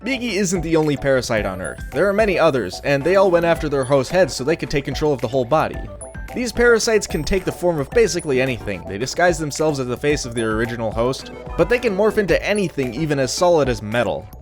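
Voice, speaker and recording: Lively young man reviewing, sardonic. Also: a little high. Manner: casual, sardonic, blunt. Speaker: anime reviewer. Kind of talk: scripted video review narration. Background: faint music.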